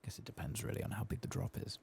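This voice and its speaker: A man doing soft-spoken ASMR game commentary, husky and breathy, often slipping into a whisper, with casual, self-mocking muttering. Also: very fast, a little hiss or hum. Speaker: host of a game playthrough.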